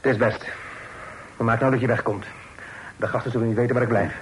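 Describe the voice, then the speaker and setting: An actor in a radio play voicing an upright, respectable burgher with a proper, reproachful manner. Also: male voice, mid-range voice, steady tone, fast talker, a little hiss or hum, natural voice, low-quality recording. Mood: stern.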